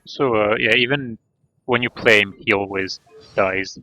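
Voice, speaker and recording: A man's voice: lowish voice, steady tone, unhurried, sharp tone, faint music behind, rough recording. A man talking casually as a game livestreamer.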